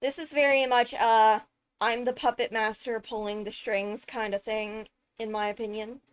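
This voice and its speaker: A woman giving casual, excited video commentary on a game trailer, chatty and thinking aloud with frequent interjections. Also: fairly high pitch, normal speed. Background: loud music from the video under commentary.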